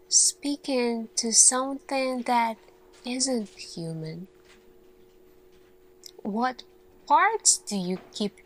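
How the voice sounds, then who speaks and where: An earnest, thoughtful lead scientist , acted out by a woman, addresses her team in a soft-spoken ASMR roleplay. Her female voice sits slightly high. She speaks extremely slowly and deliberately, leaving long pauses as she goes. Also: poor audio.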